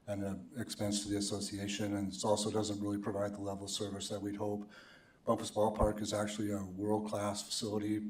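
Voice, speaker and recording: A man giving a plain, steady report as a ballpark association representative during public comment. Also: lowish voice. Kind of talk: public comment at a council meeting. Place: council chamber. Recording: echoey council chamber.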